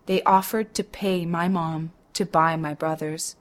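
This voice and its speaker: A young woman in her twenties, reading her own memoir writing aloud in a slow, careful manner. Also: on the low side, fairly even intonation.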